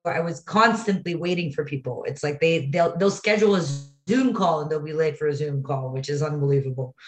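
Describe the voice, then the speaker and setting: A woman from Brazil, a startup founder, talking as an interview guest, quick and confident. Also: low-pitched, reverberant. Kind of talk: interview conversation.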